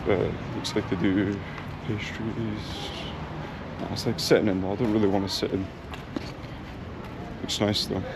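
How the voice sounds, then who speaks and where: A man's voice: fairly low pitch, flat delivery, moderate pace, pauses often. A young man chatting casually as he walks around town, thinking aloud with plenty of ums, recorded on an action camera. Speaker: travel vlogger. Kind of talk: casual vlog chat while walking. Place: outdoor town streets and a bakery. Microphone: action camera mic. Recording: noisy outdoor street recording. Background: street traffic and town ambience.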